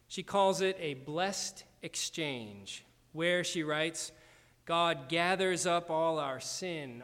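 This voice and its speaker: A man preaching a sermon in a measured, deliberate way, slowing down for emphasis. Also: on the high side, fairly bright tone, in a large hall. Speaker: pastor.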